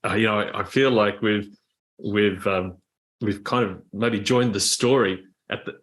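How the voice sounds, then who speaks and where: A man's voice: lowish voice, fairly even intonation, a little slow, fairly bright tone. A man co-hosting a podcast interview, asking a long question while hesitating and stumbling over words. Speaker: podcast co-host.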